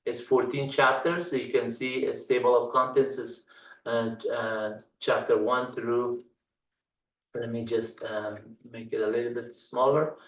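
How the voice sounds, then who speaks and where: A middle-aged man from Afghanistan, a native Dari speaker, walks through his screen as an instructor, pausing often on fillers. He sits back from the mic, so his voice sounds distant. The room adds a noticeable echo. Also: medium pitch, little pitch movement. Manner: halting, many fillers. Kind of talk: screen-share walkthrough tutorial.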